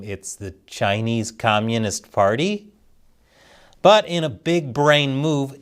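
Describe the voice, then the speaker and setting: A man hosting a scripted satirical news show, delivering commentary in a sarcastic, mock-serious manner with dry punchlines. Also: mid-range voice.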